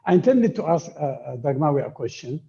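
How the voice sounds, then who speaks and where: A man speaking informally and unscripted on a panel, halting and repeating himself as he searches for words. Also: fairly high pitch, very animated, creaky, warm tone. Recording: dull, muffled online call.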